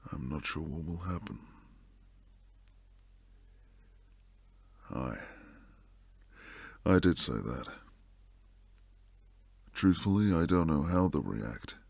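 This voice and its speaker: A man playing a protective, devoted bodyguard and secret lover in an intimate boyfriend roleplay, low and husky, speaking earnestly close to the mic. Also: a little mellow, dry recording, heavily acted. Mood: hesitant, sombre. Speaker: audio roleplay performer. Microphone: close, dry studio mic.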